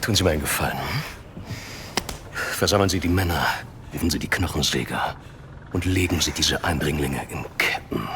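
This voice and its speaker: An extremely low-pitched military villain from a video game. He is grim and gives orders with cold authority. There is clear background noise around the voice. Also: fairly quick, pauses often. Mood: cold command. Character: grim German SS officer villain, coldly commanding. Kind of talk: cinematic game villain acting.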